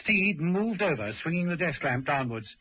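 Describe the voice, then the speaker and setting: A man's voice: fairly high pitch, very animated, normal speed, slightly rough. A man narrating a radio drama from a script, as its storyteller. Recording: muffled, hissy old radio recording.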